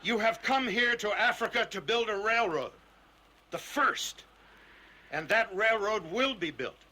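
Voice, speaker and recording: Radio drama: determined young engineer, forceful ringing high voice, commanding, swinging delivery. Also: male voice, expressive, unhurried, heavily acted. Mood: rousing, defiant. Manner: commanding, emphatic. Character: fiery, determined young British railroad engineer in Africa. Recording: slightly noisy radio drama recording.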